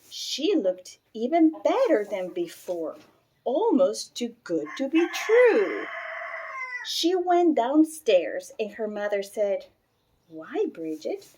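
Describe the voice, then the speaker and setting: High-voiced, animated woman reading to children. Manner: animated, deliberate phrasing for children. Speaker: storybook reader. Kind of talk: expressive children's read-aloud.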